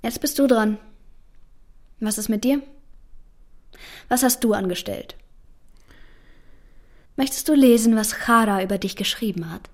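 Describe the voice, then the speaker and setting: A woman's voice: on the high side, unhurried, long pauses, a little mellow, lightly acted. An actress playing a savvy, candid young woman in a radio drama, frank and unapologetic. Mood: probing. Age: young adult. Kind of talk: German radio drama acting.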